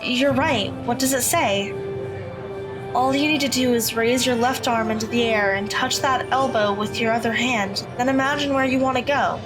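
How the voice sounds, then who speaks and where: A bright, high-voiced teenage girl who is just learning magic, voiced by a woman, asking questions in an earnest, curious way. She sounds like an audio drama character, with music playing behind her. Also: normal speed, close to an everyday voice, rough recording. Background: background music.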